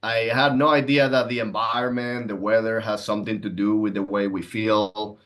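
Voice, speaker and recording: A man's voice: fairly low pitch, steady tone, moderate pace, a bit bright. Man in his thirties, conversational. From Venezuela. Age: 30s. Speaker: podcast guest, entrepreneur and coach. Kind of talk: conversational personal storytelling and explanation.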